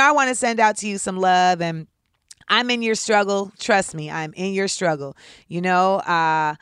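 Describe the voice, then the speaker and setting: A woman in her thirties with a deep voice, hosting a casual commentary show in a candid, sarcastic way and saying "like" often. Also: fairly bright tone, clear, resonant tone, no echo, studio quality. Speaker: host.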